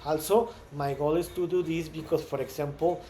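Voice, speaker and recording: Mexican guy speaking english, native spanish, stumbles and repeats a lot, slightly high mellow voice, faint hiss behind. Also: fairly even intonation. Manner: halting, many repeated words and restarts. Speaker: startup founder. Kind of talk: casual monologue.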